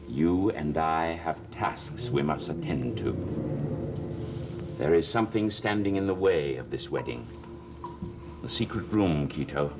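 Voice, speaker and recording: A man's voice: a bass voice, background noise, heavily acted. An actor playing a scheming man who wheedles a servant into helping him, in a 1960s soap opera. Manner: insinuating, wheedling. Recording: old TV soundtrack with loud music.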